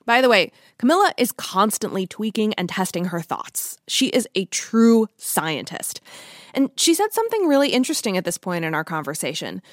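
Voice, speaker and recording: A woman hosting a conversational podcast interview, phrasing her thoughts in a thoughtful, measured way. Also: medium pitch, sing-song, dry recording, clean studio sound.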